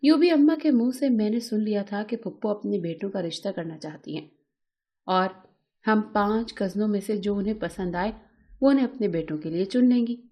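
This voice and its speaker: A woman narrating a scripted first-person emotional story in a measured, dramatic storytelling manner. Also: medium pitch, dark tone.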